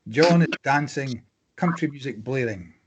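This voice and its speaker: Mid-pitched man reading stage directions. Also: normal speed, muffled recording, noisy background. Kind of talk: scripted narration.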